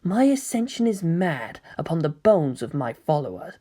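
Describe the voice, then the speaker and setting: A woman voicing a brooding, grandiose young male antagonist in a story reading, speaking in a hushed, grave manner. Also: sits low, sing-song, moderate pace. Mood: brooding regret. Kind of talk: dramatic audiobook character reading.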